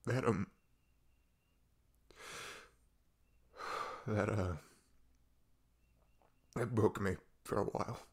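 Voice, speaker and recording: A low, gravelly young-love confession voice: a man acting as a hurting boyfriend in an emotional ASMR roleplay. He speaks in a hushed, breathy husk, haltingly, with long pauses, sounding fragile and weighed down. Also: steady tone, heavily acted. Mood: grieving. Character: depressed, vulnerable boyfriend confiding long-hidden grief.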